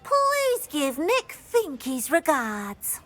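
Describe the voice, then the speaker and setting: Female house-elf, high-pitched, very drawn-out delivery, eager, kindly, servile, fantasy game. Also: wildly swooping pitch, fairly bright tone, slight background noise, exaggerated voice. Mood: kindly, obliging. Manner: speaks of herself in third person, fawning. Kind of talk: video game voice acting.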